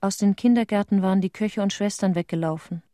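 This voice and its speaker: Woman acting mellow-voiced troubled inspector lady, radio play monologue, measured and reflective, dry studio sound. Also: mid-range voice, no echo, close to an everyday voice. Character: reflective female nature-protection inspector, guilt-troubled witness.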